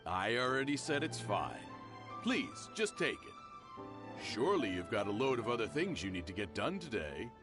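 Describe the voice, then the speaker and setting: A man's voice: lowish voice, very animated, normal speed. A man playing a genial, self-deprecating middle-aged shopkeeper and father in a video game. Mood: generous, insistent. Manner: friendly, familiar, insistent. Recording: music under the voice.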